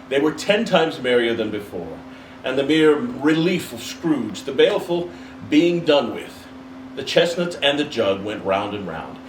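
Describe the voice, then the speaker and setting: A man narrates a classic story with dramatic expression and a lively, swooping pitch. He sounds set back from the microphone in an echoing room, with faint noise behind him. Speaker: storybook reader. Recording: roomy, distant mic, faint background noise.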